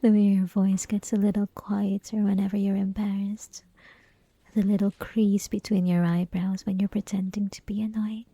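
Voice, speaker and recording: A woman's voice: medium pitch, level tone, moderate pace, mellow, dry recording. A woman playing a flirty, possessive college classmate who teases the listener in an intimate, mostly whispered ASMR roleplay. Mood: tender teasing. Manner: teasing, breathy, mostly whispered, giggly.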